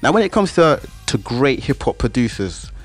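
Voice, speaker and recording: A man's voice: medium pitch, sing-song, normal speed. A man, interviewing a guest with short questions and brief murmurs of agreement. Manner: gives brief listening murmurs. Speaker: interviewer and host.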